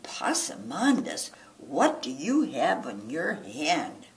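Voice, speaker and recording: A woman voicing an exasperated possum mother in a children's storybook, scolding in an emphatic, drawn-out way. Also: sits low, huge pitch swings, heavily acted. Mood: questioning. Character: scolding, exasperated, doting possum mama with Southern folksy talk. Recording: roomy, distant mic, faint noise.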